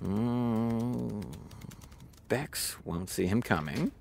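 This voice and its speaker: An actress playing an anxious, obsessive TV showrunner in naturalistic audio drama, with a muttering, stammering delivery. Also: female voice, a bass voice, very slow, gravelly, a little mellow, slight background noise, heavily acted. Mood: distracted, wistful. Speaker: actor.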